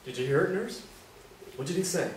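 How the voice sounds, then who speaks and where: Man acting questioning doctor, brisk, highish male voice, stage drama, echoey room, faint noise. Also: close to an everyday voice. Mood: urgent, probing. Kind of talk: staged play reading. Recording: roomy stage, distant mic, faint noise.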